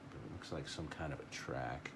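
A middle-aged man teaching a watercolor lesson live, thinking aloud in an unhurried way as he paints at a table. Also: rumbling low, heavy background noise. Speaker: art teacher.

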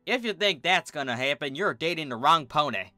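A man's voice: fairly high pitch, lively intonation, a little fast, bright, forceful tone, dry recording, soft background music, pitched above the performer's own voice. A man voicing a humble, kindly female farm pony. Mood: fond, wry. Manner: folksy, soothing, plain-spoken.

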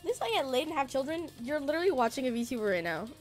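A young woman streaming a game while sick, her voice raspy, swearing, joking with chat and singing la-la to herself. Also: sits high, sing-song, quick pace. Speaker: VTuber streamer. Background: faint game music.

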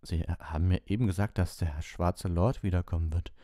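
A man's voice: low-pitched, fairly even intonation, normal speed, warm tone, dry recording, lightly acted. A man voicing an earnest, uneasy teenage wizard schoolboy in an audiobook, hesitant but insistent. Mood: bewildered, urgent.

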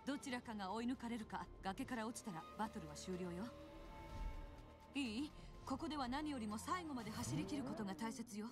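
A woman acting a cool, bossy young street-racing guide in a Japanese game dub, brisk and curt. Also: on the high side, loud music behind the voice, lightly acted. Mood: firm, instructive.

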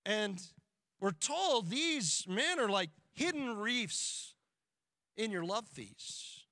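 A middle-aged man preaching an expository sermon from a church pulpit, stressing key words with slow, deliberate emphasis. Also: high voice, sing-song, pushed and bright, dry recording, clean studio sound. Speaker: pastor. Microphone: pulpit microphone.

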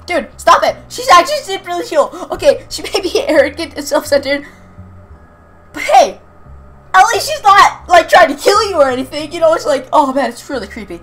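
A woman's voice: high voice, expressive, a little fast, a bit bright. A woman reading a visual novel aloud, stumbling over words and rereading them, with off-script comments. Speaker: amateur reader of a visual novel.

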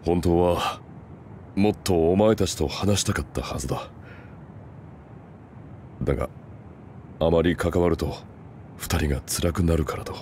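A man's voice: low-pitched, measured pace, long pauses, darkish tone, a little hiss or hum. An actor voicing a grave, considerate mature man, a comrade in a Japanese anime game. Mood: solemn.

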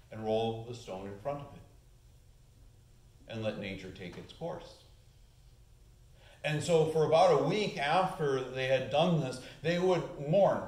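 A man in his forties delivers an earnest sermon from a church lectern, his ordinary mid-range voice rising in pitch and volume as he presses his points. The sound comes from a camera set back in the sanctuary, so the room's echo surrounds every word. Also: darkish tone. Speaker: pastor. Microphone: far camera. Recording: echoey church room.

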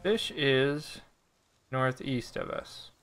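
A man's voice: mid-range voice, extremely slow, fairly bright tone, soft background music. A middle-aged man giving casual gameplay commentary as a streamer. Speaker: game streamer. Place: indoor room. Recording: faint background game noise.

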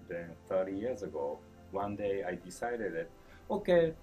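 A man in his fifties from Japan with a Japanese accent, answering interview questions in broken English with chuckles and lively gestures. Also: sits low, moderate pace, slightly rough, faint music behind, low-quality recording. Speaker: chef being interviewed. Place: indoor store event, seated before a branded backdrop.

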